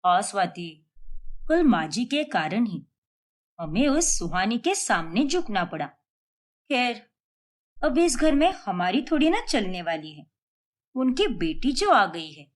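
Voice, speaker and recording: A woman voicing a resigned elder sister-in-law in an animated storybook, grumbling in a weary way. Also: medium pitch, slow pace, pauses often.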